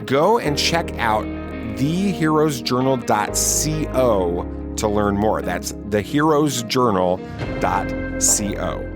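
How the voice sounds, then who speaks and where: A man's voice: mid-range voice, lively intonation, slow pace. A man hosting a podcast, narrating in a prepared, presenter style. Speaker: podcast host. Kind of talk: podcast narration and sponsor read. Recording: prominent music under the voice. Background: loud guitar music.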